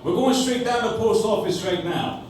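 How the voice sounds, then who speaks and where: A man's voice: high voice, moderate pace, close to an everyday voice. An actor playing an eager, chummy city mailman in a live old-time radio play, recorded from the audience in an echoey room. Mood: eager, excitable. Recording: distant audience recording in echoey cafe, noisy.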